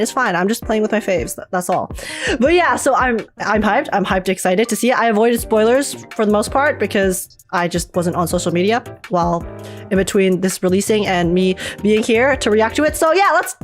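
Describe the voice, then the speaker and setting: A woman hosting a casual gaming livestream, chatting excitably, teasing herself and repeating phrases for emphasis. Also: medium pitch. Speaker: livestream host and gaming reactor. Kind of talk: casual livestream chat and trailer reaction.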